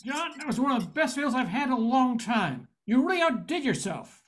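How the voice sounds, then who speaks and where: A man playing a rough-edged but good-hearted private eye in a noir radio play. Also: very high-pitched, very animated, fairly quick, a bit bright, heavily acted. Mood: earnest.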